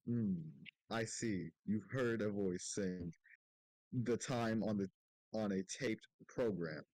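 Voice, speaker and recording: A man playing an elderly, puzzled courtroom judge in an amateur game dub, halting and stumbling over words. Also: fairly low pitch, a little mellow, slight background noise, rough recording.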